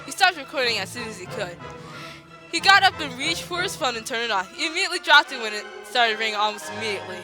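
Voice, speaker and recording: A young woman with a slight lisp, reading fan fiction aloud over a voice call, swearing and breaking into laughter. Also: fairly high pitch. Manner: breaks into laughter, swears, fast excited delivery. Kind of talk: giggly casual dramatic reading. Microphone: voice call microphone. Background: faint classical music.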